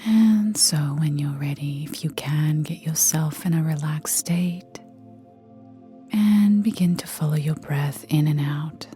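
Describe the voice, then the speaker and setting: Female meditation guide, low and gentle, soft breathy whisper, long unhurried pauses, light music underneath. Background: soft background music.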